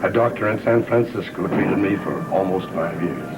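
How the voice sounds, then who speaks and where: A man playing a grave, measured older father, long thought dead, in a 1960s gothic soap opera. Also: deep voice, very noisy. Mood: sorrowful. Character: solemn long-lost father back from presumed death. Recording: old TV soundtrack with music.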